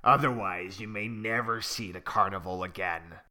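A man voicing a big female ostrich bully who threatens in a slow, cold tone. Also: sits low, pushed and bright, voice pushed down. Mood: threatening. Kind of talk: storybook reading.

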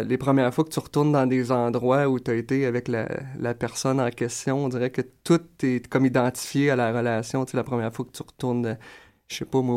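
A young adult man with a Quebec French accent, a singer-songwriter answering interview questions in a relaxed, thoughtful way with many fillers. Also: medium pitch.

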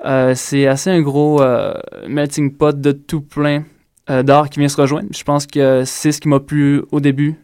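A man's voice: a little high, little pitch movement, unhurried, darkish tone, clear, resonant tone, dry recording, studio quality. A young man from Ontario, raised in Quebec, with a Quebec French accent, answering interview questions as a circus school graduate with frequent hesitations. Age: young adult. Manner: hesitant, many "euh" fillers, earnest.

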